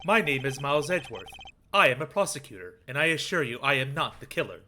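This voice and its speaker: A man's voice: mid-range voice, lively intonation, fast talker, pushed and bright, slight background noise. A man voicing a proper, indignant prosecutor with a formal, dramatic delivery in a game fan dub. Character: proper, indignant male prosecutor accused of murder.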